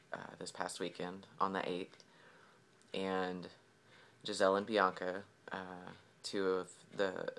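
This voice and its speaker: A man's voice: sits low, fairly bright tone. A young man, talking casually in a video diary, rambling with lots of fillers and easy laughs. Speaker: vlogger.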